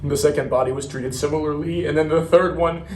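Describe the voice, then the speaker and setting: A man playing a haunted young Marine veteran, telling of war in a halting, stammering dramatic monologue with heavy pauses. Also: medium pitch, close to an everyday voice. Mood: horrified, haunted. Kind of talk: serious dramatic interpretation monologue. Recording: roomy, distant mic, faint noise.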